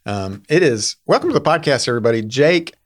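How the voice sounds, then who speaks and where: A man's voice: medium pitch, expressive, a little fast, a little hiss or hum. A man hosting a podcast, upbeat and chatty as he interviews a guest. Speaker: podcast host.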